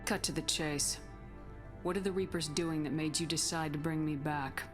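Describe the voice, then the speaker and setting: Casting: actress as blunt, guarded game commander, low female voice, firm delivery, music underneath. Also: fairly even intonation, normal speed, natural voice. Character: tough, guarded female military commander, video game hero. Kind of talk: video game cutscene acting. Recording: music behind the voice.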